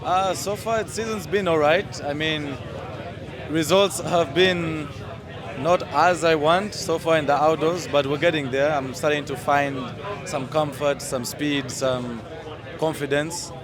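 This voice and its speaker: Young guy from uganda, racer, kinda high voice, chill answers, loud crowd around. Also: fairly even intonation, unhurried. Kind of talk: press day interview. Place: outdoors at a race track. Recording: outdoor crowd noise.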